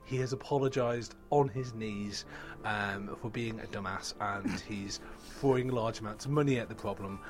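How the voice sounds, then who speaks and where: Soft-spoken man hosting a book chat. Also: lowish voice, moderate pace. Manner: hesitant, filler-heavy, self-deprecating. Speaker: podcast host. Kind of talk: casual chat.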